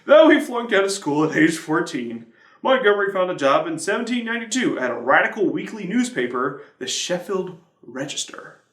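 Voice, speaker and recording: A man with a fairly high voice reads a scripted devotional as its presenter. His delivery swings up and down in a very lively way, and the room around him rings with noticeable echo. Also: normal speed. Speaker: church media director presenting a devotional. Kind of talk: scripted reading of a hymn history devotional.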